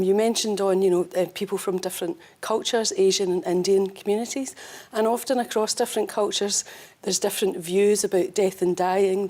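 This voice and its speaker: Calm, measured woman hospice executive. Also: mid-range voice. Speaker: hospice chief executive guest. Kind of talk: interview answers.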